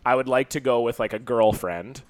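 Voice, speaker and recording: A man's voice: mid-range voice, normal speed, dry recording. A man co-hosting a podcast, telling rambling, profane stories in casual comedic chat. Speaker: podcast co-host.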